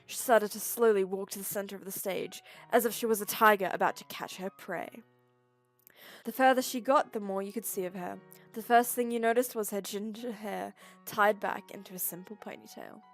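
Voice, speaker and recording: A woman giving a mock-dramatic, deadpan comedic reading of a story. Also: mid-range voice, normal speed, faint music behind. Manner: deadpan mock-serious narration. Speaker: reader.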